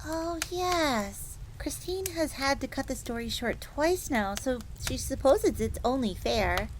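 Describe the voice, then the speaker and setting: A woman's voice: sits high, a little slow. A woman playing an earnest, devoted young fox maid in a scripted fantasy roleplay audio drama, bookish and speaking of herself in the third person. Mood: eager storytelling. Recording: steady ambient noise, crackling fire.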